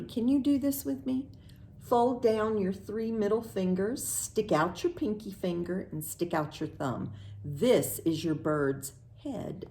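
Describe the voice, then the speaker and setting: Lively, unhurried American woman reading to children. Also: mid-range voice. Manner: simple questions with pauses, affirming answers, singsong reading. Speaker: children's librarian, storytime host. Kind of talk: read-aloud storytime for young children.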